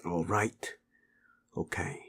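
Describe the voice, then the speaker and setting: A man acting a gruff, cocky but protective werewolf in an intimate audio roleplay, with a gravelly, breathy murmur and blunt, coarse, halting speech. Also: low-pitched, fairly even intonation, a bit bright, exaggerated voice. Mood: gruff, tender.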